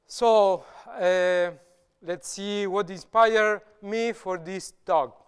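A man's voice: sits high, clean tone. Argentinian-accented man lecturing in a hall. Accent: Argentinian Spanish. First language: Spanish. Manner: halting, with frequent restarts and gestures. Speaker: guest lecturer. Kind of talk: public lecture. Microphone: podium microphone. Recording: reverberant hall.

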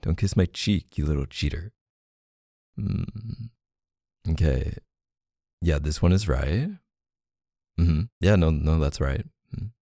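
Man voicing a playful doting boyfriend, ASMR roleplay, dark mellow soft voice, teasing murmurs, close dry sound. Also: dry recording, heavily acted. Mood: playful, focused. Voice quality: low, gravelly, soft. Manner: teasing, murmuring, hesitant pauses and hums. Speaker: audio roleplay performer.

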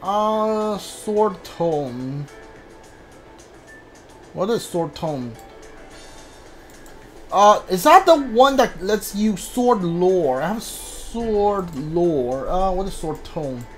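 A game streamer giving casual commentary, thinking aloud haltingly and muttering through lists over game music. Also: mid-range voice, expressive. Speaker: streamer playing a video game.

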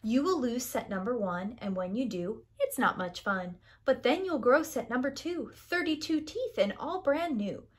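A woman's voice: fairly high pitch, animated, moderate pace, few pauses, pitched above the performer's own voice. A woman voicing a knowing, helpful storybook hen who explains things in an emphatic, instructive way.

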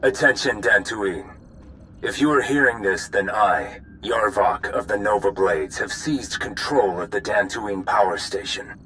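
A man's voice: lowish voice, sharp tone, a little hiss or hum, low-quality recording. An actor playing a brazen pirate boss in a video game, blustering and offhand as he broadcasts ransom demands. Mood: grandly businesslike. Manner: blustering, offhand, slow delivery with self-interruptions.

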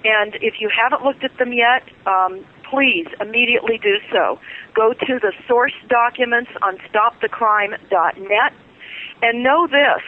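A woman's voice: a little high, unhurried, a little hiss or hum. A woman guest speaking urgently and insistently over the phone on a radio talk show, with frequent filler ums. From California. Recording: tinny phone line.

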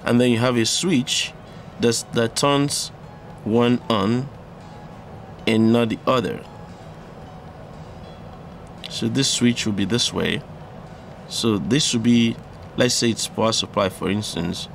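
A man presenting an unscripted electronics tutorial, explaining step by step in a halting way. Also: mid-range voice. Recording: clearly audible background noise.

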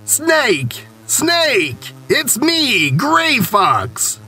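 A man's voice: very high-pitched, wildly swooping pitch, drawn-out words, pushed and bright, faint music behind, voice pushed up, exaggerated voice. A man voicing a betrayed mercenary soldier, a theatrical video game villain who declaims with menace. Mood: menacing, dramatic.

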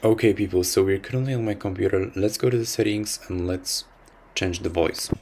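A young man with a low voice presents a how-to vlog to camera. He explains a piece of software as its host. There is faint noise in the recording from a small room at home. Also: a little fast. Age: young adult. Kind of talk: upbeat scripted tutorial vlog to camera.

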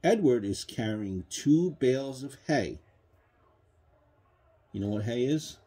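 A man's voice: mid-range voice, expressive, slow pace, dark tone, slightly rough. A man reading a children's counting book aloud to a child, patiently prompting with questions. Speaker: parent reading a bedtime story to a child. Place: small child's bedroom, indoors.

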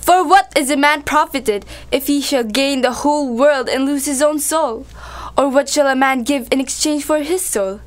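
Woman acting high-voiced, steadfast young prisoner. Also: moderate pace, lightly acted. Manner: earnest. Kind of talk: amateur student radio drama acting.

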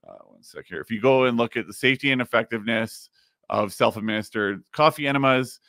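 A man in his thirties, slightly raspy, hosting a casual podcast and explaining things with plenty of fillers, recorded in a home studio. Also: medium pitch, lively intonation, fast, fairly bright tone, no echo. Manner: explains while screen-sharing, many fillers. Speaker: podcast host. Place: home studio with neon sign.

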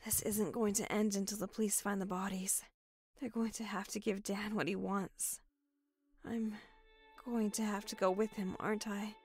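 A woman's voice: medium pitch, little pitch movement, a little mellow, husky, soft background music. A woman playing a shaken, guilt-ridden grown daughter in an audio drama, speaking hesitantly. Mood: resigned, anxious. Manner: hesitant, halting.

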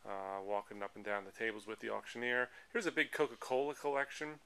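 Casting: American male auction presenter, bright, forceful tone with some fry, informal running commentary, shot handheld in a noisy indoor hall. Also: lowish voice, little pitch movement, brisk. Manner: rambling item-by-item listing while walking. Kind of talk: casual off-the-cuff walk-through commentary. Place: large indoor auction hall full of tables. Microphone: handheld camera, held by speaker behind it. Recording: handheld camera audio with clear background noise.

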